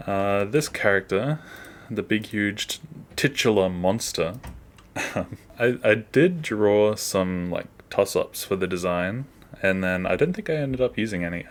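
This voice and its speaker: A man hosting a casual behind-the-scenes animation commentary in an informal way. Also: deep voice.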